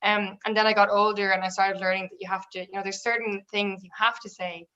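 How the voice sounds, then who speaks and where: A woman's voice: mid-range voice, level tone, fast talker, pushed and bright. Young woman, chatty, a bit self-deprecating, kinda muffled sound. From Dublin. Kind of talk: casual panel discussion. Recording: dull lo-fi audio.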